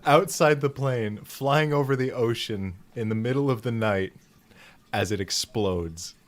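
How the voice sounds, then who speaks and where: A man's voice: medium pitch, measured pace. A man casually narrating an improvised tabletop game as game master, making it up as he goes and swearing freely.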